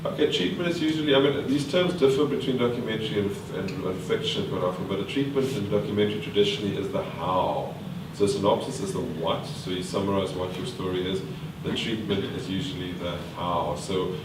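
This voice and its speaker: Very deep-voiced man teaching, echoey room. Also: fairly quick, hardly any pauses. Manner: thinks aloud with fillers and restarts. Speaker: workshop trainer. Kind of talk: teaching a workshop, quizzing the group. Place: large meeting room with a live group. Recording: echoey room from far off, noisy.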